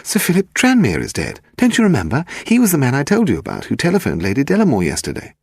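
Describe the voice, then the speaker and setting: A British man voicing an excited, insistent woman, a detective's wife, in an audiobook. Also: a little high, fast, gravelly, breathy edge, no echo, voice pushed up, exaggerated voice. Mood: excited, urgent. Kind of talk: audiobook character dialogue.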